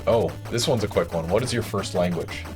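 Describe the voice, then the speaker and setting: Male streamer host, 30s, lowish voice, playful and joking over game music. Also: a little mellow. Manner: playful, laughs often, gives quick game directions. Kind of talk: casual livestream chat while coaching a retro game. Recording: music playing behind.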